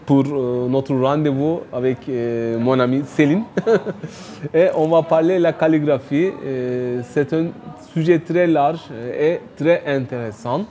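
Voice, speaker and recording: A man from Turkey with a Turkish accent, answering interview questions hesitantly and with many pauses. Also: fairly high pitch. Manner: slow, many pauses and fillers, soft laughs. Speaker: guest, writer and calligrapher.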